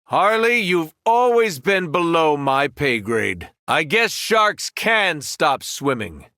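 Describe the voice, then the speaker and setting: A commanding superhero from a video game. His voice sits a little high with a bright, forceful edge. He delivers each phrase earnestly and deliberately, in a very dry recording. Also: very animated, no room sound, heavily acted. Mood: taunting, scornful. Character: powerful male superhero, once kindly, now menacing. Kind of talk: acted video game voice lines.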